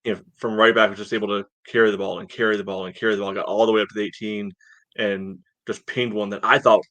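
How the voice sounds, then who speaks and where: A man's voice: lowish voice, little pitch movement, fast, a bit bright, no room sound. A man co-hosting a soccer podcast, casually analyzing play in a hesitant, conversational way with frequent filler phrases. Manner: hedging, conversational, peppered with "you know".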